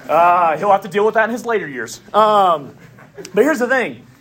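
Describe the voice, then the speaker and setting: A man preaching a sermon in a Southern US accent, with emphatic declarations, quiet asides and folksy humor. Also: high-pitched, expressive, normal speed. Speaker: pastor.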